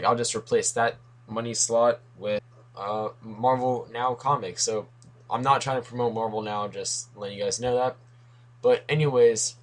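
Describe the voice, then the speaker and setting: A young man talks casually about comics, wandering into asides and correcting himself as he goes. His voice is a little worn, like he has been losing it. His pitch sits at an everyday middle level. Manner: rambling, self-correcting, lots of asides. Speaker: comics video host. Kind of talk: casual rambling commentary.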